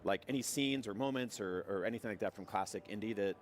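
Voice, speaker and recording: A man's voice: on the low side, fast talker, a little hiss or hum. A man interviewing game developers, asking chatty, friendly questions full of "like" and "um". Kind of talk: casual event interview.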